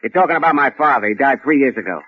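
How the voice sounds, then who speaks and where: An actor playing a curt, impatient younger pawnbroker in a radio drama, husky and clipped. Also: male voice, mid-range voice, expressive, racing. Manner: clipped, brusque. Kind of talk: old-time radio drama acting. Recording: dull vintage radio recording.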